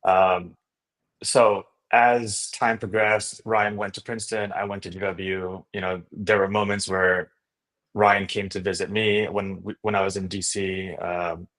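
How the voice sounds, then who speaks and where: A man with a fairly low voice, from Indonesia and a native speaker of Indonesian. He talks as an interview guest, telling his story in a casual, enthusiastic way and often tagging phrases with a checking word. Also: flat delivery, unhurried, fairly bright tone. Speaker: podcast guest, startup founder and investor.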